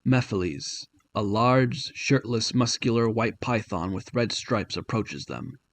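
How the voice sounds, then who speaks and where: A man's voice: on the low side, unhurried, slight background noise. A man giving dramatic scripted narration for a fantasy story.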